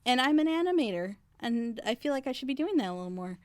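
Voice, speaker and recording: A woman's voice: on the high side, sing-song, fast. A woman hosting a casual, chatty online group reaction show. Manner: nervous. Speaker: animator. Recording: music playing behind the voice.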